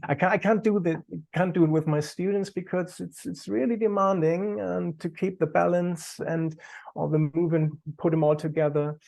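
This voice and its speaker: A man's voice: high-pitched, steady tone, moderate pace, creaky, a little mellow. A man with a German accent, a native German speaker, talking earnestly as a qigong teacher on a video call, sometimes searching for words.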